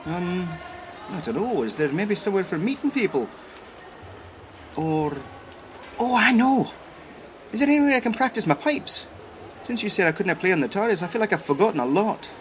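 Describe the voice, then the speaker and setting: Male actor as young Scottish Highlander, restless and hearty, highish lively voice, colloquial, noisy background. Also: normal speed, long pauses. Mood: eager. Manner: colloquial, grumbling. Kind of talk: audio drama acting. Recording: audible background noise.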